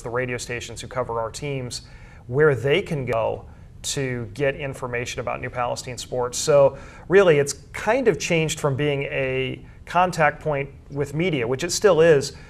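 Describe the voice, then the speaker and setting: A middle-aged American man speaks as a guest in an interview. He explains his work in an earnest, thorough way, with a mid-range voice. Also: medium pitch. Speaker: guest, school sports information director and journalism teacher.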